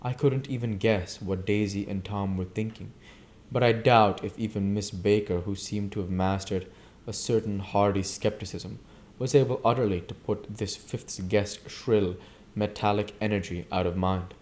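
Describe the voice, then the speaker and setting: A man with a fairly low voice reads literary fiction aloud. His delivery is deliberate and emphatic, with a theatrical touch, like a homemade audiobook. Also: fairly even intonation, a little mellow. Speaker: amateur audiobook reader, channel host. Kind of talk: reading a classic novel aloud.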